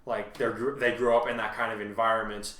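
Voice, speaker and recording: Rambling man, bright voice in an echoey room. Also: fairly low pitch, flat delivery, far from the mic. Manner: rambling, self-correcting, halting mid-thought. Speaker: host and fan fiction author. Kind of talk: casual fan discussion.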